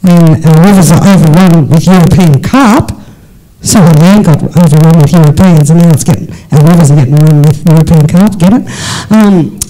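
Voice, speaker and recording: An Australian speaker hosting a live panel discussion in a relaxed, joking manner. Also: medium pitch, low-quality recording. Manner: relaxed, joking, drawn-out phrasing. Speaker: panel host.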